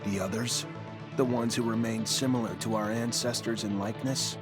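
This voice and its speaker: An actor playing an earnest, composed young cadet in a sci-fi video game, speaking in a measured, solemn way. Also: male voice, lowish voice, fairly even intonation, natural voice. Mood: grave, solemn. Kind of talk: scripted game narration and dialogue. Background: background music.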